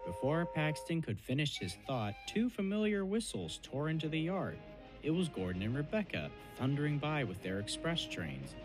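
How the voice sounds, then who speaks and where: A man's voice: on the high side, big pitch swings, normal speed, poor audio. A man giving scripted narration of a children's story. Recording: music behind the voice.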